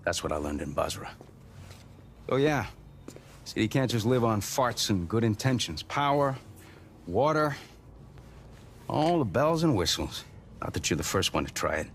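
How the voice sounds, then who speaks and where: Deep-voiced, sardonic cynical contractor. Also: male voice, very animated, normal speed, long pauses, a little hiss or hum. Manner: sardonic, irreverent. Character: cynical, gruff male ex-contractor, crude and sardonic. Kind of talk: dramatic game cutscene acting.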